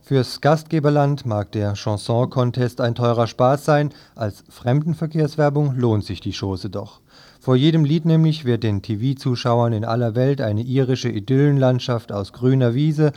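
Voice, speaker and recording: A man's voice: medium pitch, a little slow, warm tone. A man reading scripted newspaper commentary for a radio press review.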